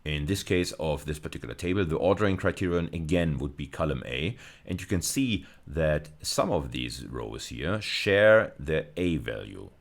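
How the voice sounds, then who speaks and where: A man's voice: extremely low pitch, lively intonation, moderate pace, hardly any pauses. A man giving an explanatory university lecture, methodical and repeating phrases for emphasis.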